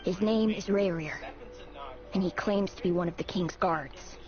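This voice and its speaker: An actress playing an embittered, vengeful rebel of a fallen dynasty, sardonic and fervent, in a dramatic video game style. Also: female voice, on the low side, normal speed, close to an everyday voice. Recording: dull game audio under loud music.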